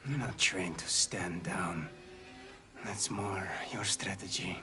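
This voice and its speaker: An actor playing a cold, captured terrorist leader in a military shooter game, taunting in a hushed, measured, menacing way. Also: male voice, deep voice, steady tone. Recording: clear noise behind the voice.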